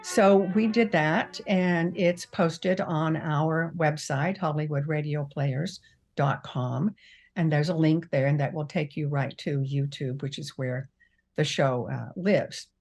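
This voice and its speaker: A woman's voice: sits low, takes it slowly, darkish tone, faint music behind. A woman talking casually and explaining a production she made, as an interviewee. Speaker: radio drama producer being interviewed.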